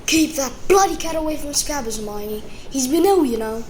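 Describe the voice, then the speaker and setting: A woman voicing a blunt, excitable teenage schoolboy wizard in an audio drama. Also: high voice, moderate pace. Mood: annoyed. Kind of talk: fan audio drama acting. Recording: clearly noisy background.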